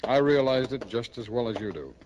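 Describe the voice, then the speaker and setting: An actor playing a shrewd, weary police captain in 1950s radio drama, gruff, deliberate and plain-spoken. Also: male voice, mid-range voice, animated, darkish tone, lo-fi sound, natural voice. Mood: matter-of-fact. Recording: old radio recording, background noise.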